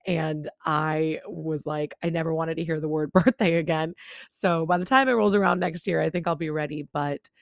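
A woman's voice: low-pitched, fairly even intonation, normal speed, creaky, no room sound, clean studio sound. A woman in her late twenties hosting her own podcast, talking candidly and casually with little laughs, her voice still not fully recovered. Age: 20s. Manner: chatty, candid, laughs between words. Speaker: podcast host. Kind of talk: casual solo podcast chat. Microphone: own studio microphone, close.

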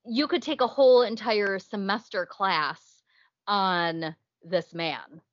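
A woman's voice: medium pitch, takes it slowly, no room sound, muffled recording. A young woman co-hosting a podcast in casual chat, chatty and laughing often. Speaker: podcast co-host.

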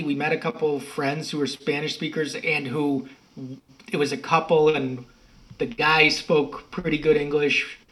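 A man's voice: mid-range voice, fairly bright tone, poor audio. An American man, middle-aged, telling stories in a rambling, self-correcting way as an interview guest. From United States. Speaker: podcast guest. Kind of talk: casual interview talk.

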